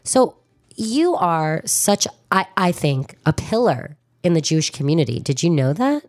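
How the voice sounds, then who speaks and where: Woman radio host, low voice, chatty and gushy, trails off mid thought, studio interview. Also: big pitch swings, measured pace, darkish tone. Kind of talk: chatty interview, partly reading a bio.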